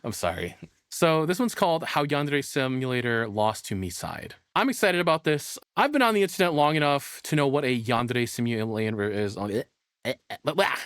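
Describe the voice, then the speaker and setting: A man's voice: mid-range voice, big pitch swings, a little fast, a bit bright, smooth, no echo, studio quality. A man giving casual, enthusiastic, rambling live reaction commentary as a streamer.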